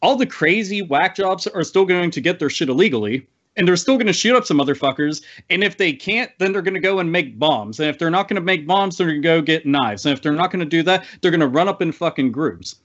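A man's voice: fairly high pitch, expressive, quick pace, few pauses, a bit bright, muffled recording. A man on a podcast panel giving an emphatic, wordy, opinionated rant full of swearing. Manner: emphatic, wordy, piles up clauses, swears freely.

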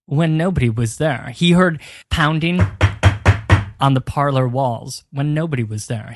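Male podcast co-host, mid-pitched storyteller, dry close sound, dramatic pauses. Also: no echo. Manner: builds tales with dramatic pauses. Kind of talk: storytelling history chat.

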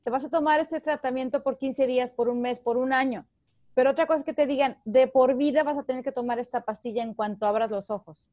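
A woman's voice: sits high, quick pace, a little mellow, no echo, clean studio sound. A woman from Mexico with a Mexican accent, talking candidly in an emphatic, explanatory conversational way.

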